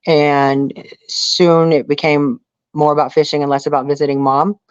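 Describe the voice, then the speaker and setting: A woman's voice: deep voice, level tone, normal speed, clear, resonant tone, no echo. Female interview guest from Texas, candid conversational storyteller, dull lo-fi and very dry recording. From Houston, Texas area. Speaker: interview guest, outdoorswoman and reality show contestant.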